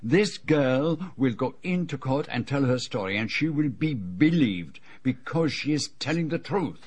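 An actor in a radio drama playing a shrewd, grave foreign detective who speaks formally and deliberately. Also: male voice, mid-range voice, animated, a little mellow, slightly rough. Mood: stern, pressing. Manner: formal, deliberate phrasing without contractions.

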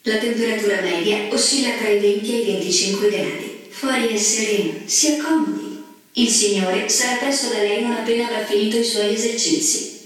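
A woman's voice: on the high side, a little fast, natural voice. Woman as a formal attendant, echoing from afar. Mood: formal, informative. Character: impersonal, formal female attendant voice of a dystopian bunker. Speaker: voice actress. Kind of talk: announcement in a theatre play. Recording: very echoey, distant from microphone.

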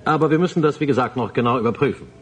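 An actor playing a matter-of-fact male security officer with a husky voice, reporting in a radio drama. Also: medium pitch, racing, lightly acted. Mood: businesslike.

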